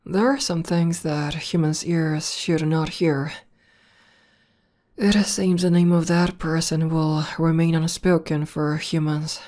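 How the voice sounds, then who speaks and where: A woman playing an affectionate, bashful tomboy demoness warrior in an intimate ASMR roleplay, talking softly and tenderly with some hesitation. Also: deep voice, fairly even intonation, takes it slowly. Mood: calm, reminiscing. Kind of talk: ASMR roleplay, intimate one-sided conversation.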